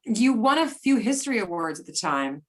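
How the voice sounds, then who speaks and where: A woman's voice: medium pitch, very animated, moderate pace. A woman playing a businesslike investigator interviewing a witness in an amateur readers theater drama. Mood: inquiring.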